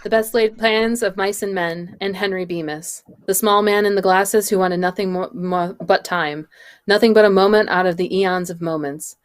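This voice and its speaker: A woman playing the solemn narrator of an eerie radio tale, measured and portentous. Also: fairly low pitch, natural voice. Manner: measured, portentous, rhetorical repetition. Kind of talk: scripted narration.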